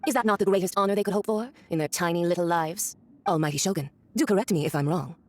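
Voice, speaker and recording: Haughty, quick-talking villainess played by an actress. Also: female voice, lowish voice, a little mellow, close to an everyday voice. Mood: dismissive. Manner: condescending, theatrical, sneering. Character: haughty, mocking villainous woman diplomat with lethal power. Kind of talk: video game voice acting.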